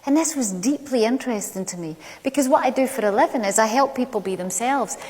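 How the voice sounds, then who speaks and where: A middle-aged woman with a Glaswegian Scottish accent gives a prepared stage talk, telling stories in a measured, conversational way with deliberate pauses. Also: on the high side, animated, a little mellow. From Glasgow, Scotland. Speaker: public speaker. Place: large indoor stage venue. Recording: echoey hall, long reverb.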